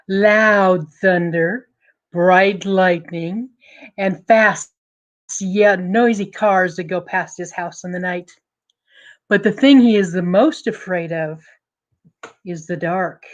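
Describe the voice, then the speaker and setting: A woman telling a story dramatically to an audience, deliberate and emphatic, building suspense. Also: on the low side.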